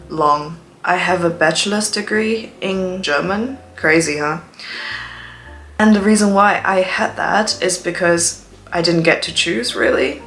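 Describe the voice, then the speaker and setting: A woman's voice: lowish voice, a bit bright. A Chinese woman in her twenties, a student, telling stories in a chatty, dramatic way, speaking English with an accent between British and American. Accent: English learned from TV, between British and American. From China, Shanghai. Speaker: student vlogger. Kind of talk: casual monologue vlog.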